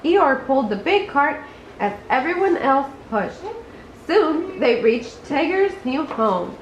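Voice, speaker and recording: A woman with a high voice reads a bedtime story in an expressive way, occasionally tripping on a word. The room echoes around her and background noise is clearly audible. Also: takes it slowly, lo-fi sound. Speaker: storybook reader. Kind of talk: read-aloud bedtime story narration.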